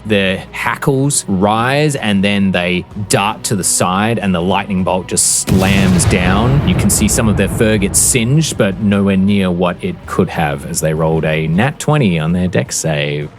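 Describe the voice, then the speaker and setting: A man narrating a tabletop roleplaying game as game master, with a dramatic, descriptive storytelling manner. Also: deep voice. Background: background music.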